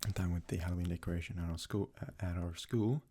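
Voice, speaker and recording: A young man from Sweden, a native Swedish speaker, talks casually in a soft-spoken, hesitant ASMR style as he answers questions. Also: low voice, fairly even intonation, fast, breathy edge. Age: young adult. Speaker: ASMR creator.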